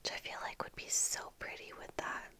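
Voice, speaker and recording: Young woman doing whispered asmr chat, super bright sibilant whisper, slow n casual, right up on the mic. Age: young adult. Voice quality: breathy unvoiced whisper. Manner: casual, halting, unhurried. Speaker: ASMR video creator. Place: small indoor room at home with bookshelves. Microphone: fluffy-covered microphone close in front, earphones on.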